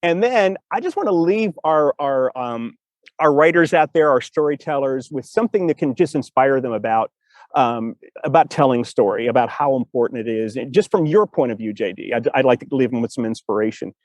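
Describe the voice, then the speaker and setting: A man hosting a casual podcast interview in an informal, expressive, rambling way. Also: medium pitch, fairly quick, dry recording. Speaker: podcast host.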